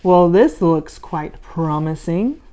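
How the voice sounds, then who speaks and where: Storybook monster supervisor, male, stern and exacting, commanding and slow-paced, read by a woman. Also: fairly low pitch, lively intonation, dark tone, pitched below the performer's own voice. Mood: measured, businesslike. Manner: commanding, instructive. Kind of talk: children's storybook read-aloud.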